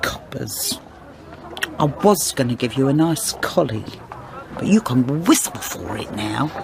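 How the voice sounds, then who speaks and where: A woman's voice: rumbling low, expressive, unhurried, vocal fry, a crowd in the background, noisy background, exaggerated voice. A woman playing a brash, crafty market greengrocer in a radio drama, blustering and aggrieved. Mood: grumbling. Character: brash, indignant, crafty female street greengrocer. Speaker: market trader. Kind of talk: character acting in radio drama.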